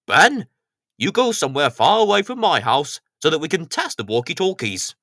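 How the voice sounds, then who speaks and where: A man voicing Slowcoach, a knowing and slightly grumpy children's story character who explains things to the others. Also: fairly high pitch, big pitch swings, fairly bright tone, no echo, studio quality, heavily acted. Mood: instructing.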